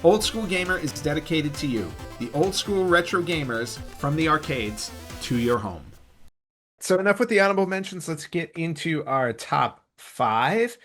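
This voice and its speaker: A man's voice: fairly high pitch, lively intonation, normal speed, a bit bright, faint music behind. A man hosting a podcast in a casual, conversational commentary style. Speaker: podcast host. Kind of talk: casual chat, countdown commentary.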